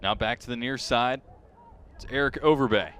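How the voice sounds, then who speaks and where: A man's voice: mid-range voice, normal speed, slight background noise. A male sports commentator delivers live play-by-play in a mid-range voice. He follows the action closely and raises his intensity as it builds. Faint outdoor ambience sits behind him. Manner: excitement rises sharply on big plays.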